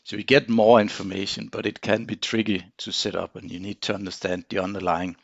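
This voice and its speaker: A man talks through a technical demonstration on screen in an informal, hesitant way, stopping often to find the next phrase. His voice has a noticeable creak. The sound is very dry and a little dull, like a close voice-over in a small room. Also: on the low side, level tone, no echo. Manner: halting, hesitant explaining with frequent pauses. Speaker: engineer presenting a software tutorial.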